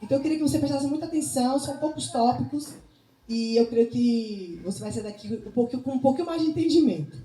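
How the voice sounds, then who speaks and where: Female speaker, Bahian accent, informal instructive talk with frequent check-ins; mellow tone, echoey room with faint noise. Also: sits high, animated, fast. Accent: Bahian Brazilian. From Salvador, Bahia, Brazil. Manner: didactic, often seeking agreement with tag questions. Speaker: nutrition speaker and missionary. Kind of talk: informal public talk with religious touches. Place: indoor talk to a women's group.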